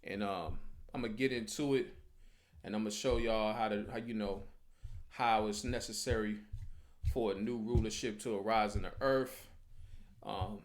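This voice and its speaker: A man's voice: lowish voice, steady tone, moderate pace. A man teaching a religious class as an informal sermon, speaking repetitively with frequent rhetorical check-ins.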